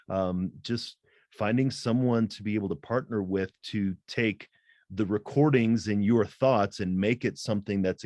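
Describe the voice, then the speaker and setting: A middle-aged man talks as a podcast guest, measured and deliberate, often checking in with "right?". His voice is somewhat low. The sound is dry and close. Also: darkish tone, dry recording. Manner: deliberate, thoughtful, frequent "um" and "right?". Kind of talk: interview conversation.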